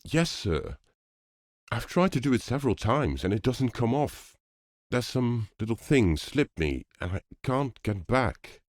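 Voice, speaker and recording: A man's voice: medium pitch, big pitch swings, a little slow, dry recording, studio quality, pitched above the performer's own voice. A man voicing the abashed, awkward ghost of a weak young man in an audiobook, hesitant and apologetic. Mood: flustered.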